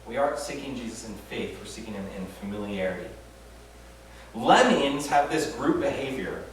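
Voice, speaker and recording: A man's voice: a little high, far from the mic, a little hiss or hum. A man preaching a casual, conversational sermon at a church retreat, with lots of fillers and self-deprecating humor. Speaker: pastor. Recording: very echoey room, voice from afar.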